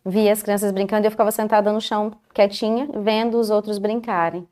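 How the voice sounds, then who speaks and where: Give me a woman with a mid-pitched voice telling her own story in an interview. She speaks calmly, in short, measured phrases with many pauses. It should sound like an unhurried personal account, steady rather than dramatic.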